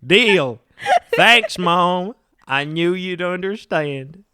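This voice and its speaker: A man's voice: high-pitched, animated, sharp tone, clear, resonant tone, no room sound, full character voice. Guy doing an earnest dramatic son in a comedy skit, voice swings all over, really close dry sound. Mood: relieved. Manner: theatrical, drawn-out dramatic reading. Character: earnest young son proudly declaring his British identity.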